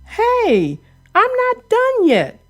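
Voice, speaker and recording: A woman's voice: very high-pitched, huge pitch swings, drawn-out words, soft background music, voice pushed up, full character voice. A woman voicing an eager, earnest young male steam engine in a children's storybook. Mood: urgent.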